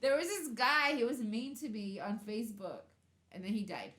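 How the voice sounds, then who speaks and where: A woman's voice: on the high side, animated, normal speed, a bit bright. A woman hosting a casual interview chat, talking loosely and filling her sentences with "like". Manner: chatty, peppers talk with "like". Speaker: podcast host. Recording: roomy echo.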